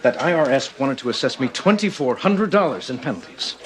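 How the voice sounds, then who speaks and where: An actor playing an earnest, formal alien immigrant police detective in a television drama, with precise diction. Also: male voice, mid-range voice, expressive, a little fast, lightly acted. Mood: proud.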